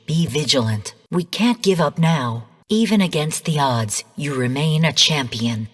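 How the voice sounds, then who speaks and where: Female game AI, calculating overseer, husky tone, measured clinical delivery, mid pitch, long echoing reverb. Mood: commanding, encouraging. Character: calm female rogue artificial intelligence mentor turned genocidal overseer. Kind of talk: scripted video game voice lines.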